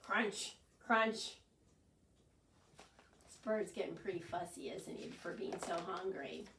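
A woman's voice: fairly low pitch, fairly even intonation, moderate pace. A woman in her sixties leading storytime for young children, speaking expressively and putting questions straight to them. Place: small carpeted living room, indoors. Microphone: camera a few meters away. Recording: roomy, distant camera microphone.

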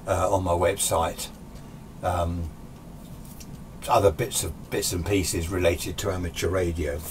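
Low-voiced older English man, informal explainer. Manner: rambling, conversational, self-correcting. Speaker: amateur radio and vintage radio video host. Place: small cluttered indoor radio workshop. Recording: clear background noise, room ambience.

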